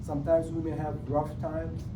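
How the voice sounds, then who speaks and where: A man in his sixties from Trinidad and Tobago gives a motivational talk and keeps turning his points into questions. His voice sits high for a man. He is picked up from a distance in an echoey room with a clear noise bed behind him. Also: completely flat delivery, normal speed. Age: 60s. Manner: keeps asking "right?" after points; plays with invented long words. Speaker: motivational speaker. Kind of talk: motivational talk to a sales team.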